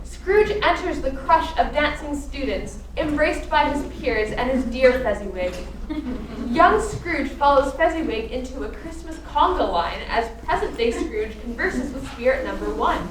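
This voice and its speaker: A woman's voice: high voice, animated, a little slow. A woman narrating a scripted radio play as the storyteller of a ghostly tale. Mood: warm storytelling. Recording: echoey, distant stage recording, faint noise.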